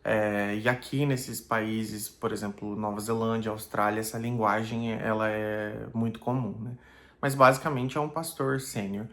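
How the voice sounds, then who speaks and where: Reflective pastor talking casually. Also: male voice, lowish voice, fairly even intonation, measured pace, fairly bright tone. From Brazil. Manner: thoughtful, many fillers like "né" and "é".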